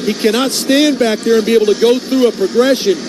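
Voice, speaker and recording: Man, American accent, high voice, colloquial football analysis, explanatory, live radio, clear background stadium noise. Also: a little fast. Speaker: colour analyst. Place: stadium broadcast booth. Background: stadium ambience.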